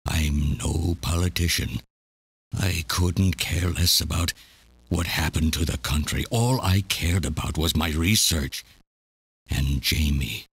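An actor playing an elderly, obsessed scientist villain in a video game, delivering a bitter, deliberate, theatrical confession. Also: male voice, rumbling low, breathy edge, no room sound, full character voice. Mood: bitter, grave. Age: older. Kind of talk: dramatic video game voice acting, monologue. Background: game music.

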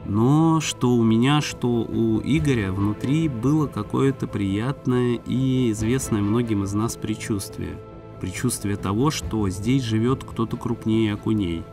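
Low-voiced guy doing a voice-over for a fishing show, sounds a bit distant, music under it. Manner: storytelling, measured. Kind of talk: voice-over narration.

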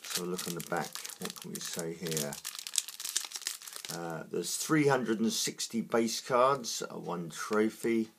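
A man with a croaky morning voice, talking through opening trading card packs in a slow, halting way with many fillers. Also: deep voice, expressive, noisy background. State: croaky, just woken up. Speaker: trading card unboxing host. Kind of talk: casual unboxing commentary.

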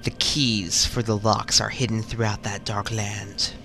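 A man as a dead temple guardian alien, fake british accent, slow formal grave tone. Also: fairly low pitch, level tone, vocal fry, music in the background. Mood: solemn. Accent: put-on British. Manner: grave, measured, formal recitation. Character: solemn dead alien temple sentinel spirit.

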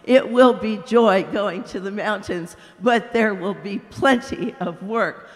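A woman voicing a humble male spirit, speaking calmly and earnestly. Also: medium pitch, lightly acted. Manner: calm, earnest, slow. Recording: echoey hall.

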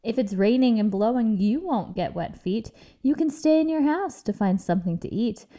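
Higher-voiced, mellow woman reading a storybook. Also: animated, moderate pace. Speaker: picture book reader. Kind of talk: read-aloud children's storytelling in verse.

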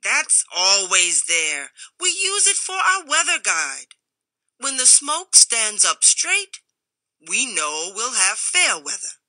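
A woman reading aloud voices a burly older bathmaster who rumbles and blusters, brushing off every warning. He sounds sure of himself and slow to budge. The recording is close and dry. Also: big pitch swings, sharp tone, dry recording, full character voice. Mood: blustering. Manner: blustering, dismissive.

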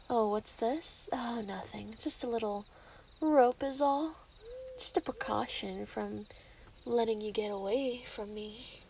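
A woman playing an obsessive, possessive young werewolf in love in an intimate ASMR roleplay, cooing and menacing in breathy half-whispers. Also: fairly high pitch, takes it slowly, pauses often, dark tone, a little hiss or hum. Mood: sly, threatening.

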